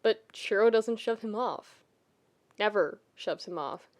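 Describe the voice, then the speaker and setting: Slow-paced woman narrating fiction. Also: medium pitch. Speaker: podfic narrator.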